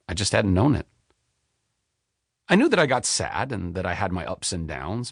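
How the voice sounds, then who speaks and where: A man in his forties narrates his own memoir in a close, dry studio sound. His fairly low voice swings widely up and down as he leans into the telling. He sounds candid and expressive, as if confiding in the listener. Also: no room sound. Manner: expressive, candid storytelling with wide pitch swings.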